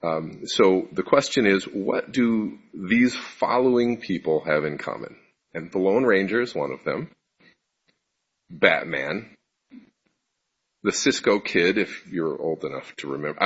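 Male preacher, deep and husky voice, conversational sermon, muffled lo-fi recording. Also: fairly bright tone. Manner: conversational, self-deprecating, asks the audience questions. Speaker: preacher giving a congregation talk.

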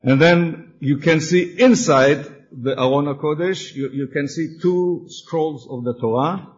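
A man from Austria presenting his congregation to an audience, hesitant and searching for words, a little excited. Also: a little high, measured pace, lo-fi sound. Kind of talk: halting presentation to an audience with slides.

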